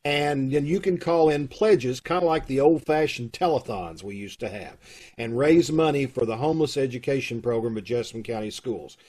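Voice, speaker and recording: A middle-aged man with a husky voice, making folksy, casual announcements to an online audience over a video call. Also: mid-range voice, moderate pace, a little mellow. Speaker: troupe host making announcements. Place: room at home, on video call. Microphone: video call microphone.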